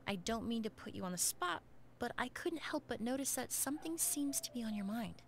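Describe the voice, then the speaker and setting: An actress playing a gentle, caring young perfumer who speaks softly and considerately. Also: female voice, a little high, expressive, a little fast, vocal fry, a little hiss or hum.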